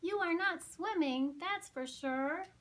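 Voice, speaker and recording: A woman's voice: very high voice, slow pace, a bit bright, voice pushed up, heavily acted. A woman voicing a friendly, practical female penguin friend in a storybook. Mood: teasing.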